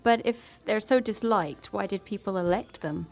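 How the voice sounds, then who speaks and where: A woman's voice: medium pitch, moderate pace, lightly acted. A woman playing a curious, candid podcast reporter in an audio drama, conversational and dryly observant. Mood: probing. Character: curious, candid female podcast reporter narrating her investigation. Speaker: reporter and narrator. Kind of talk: scripted first-person podcast narration.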